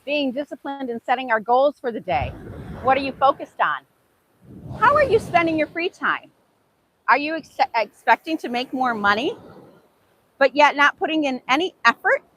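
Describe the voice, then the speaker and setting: A woman's voice: sits high, sing-song, normal speed, bright, forceful tone, a little hiss or hum, low-quality recording. A woman from the United States whose first language is Hungarian, giving an informal motivational talk in an earnest way, repeating phrases and posing questions. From United States, Hungarian immigrant family. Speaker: English teacher giving a motivational self-improvement talk.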